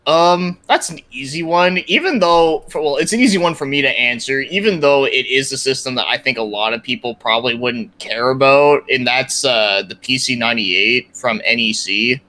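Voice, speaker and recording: A man's voice: medium pitch, moderate pace, hardly any pauses, bright, forceful tone. A young man chatting casually as a podcast guest about his interests, enthusiastic, slangy and rambling. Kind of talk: casual gaming podcast chat.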